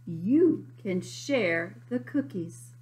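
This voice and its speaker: Kindly, generous picture-book mum, read by a woman, singsong and unhurried, with big welcoming rises in pitch. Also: lively intonation, a little mellow, a little hiss or hum. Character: kindly, generous mother, proud of Grandma's baking.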